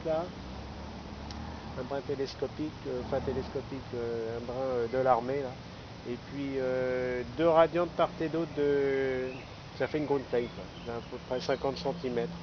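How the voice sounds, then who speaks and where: Male radio hobbyist, slightly high voice, rambling and chatty, recorded outdoors with muffled, lo-fi sound. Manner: chatty, rambling, tagging phrases with hein and là. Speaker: amateur radio operator. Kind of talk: casual amateur radio chat. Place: outdoors in a city park. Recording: dull, lo-fi outdoor recording with noise.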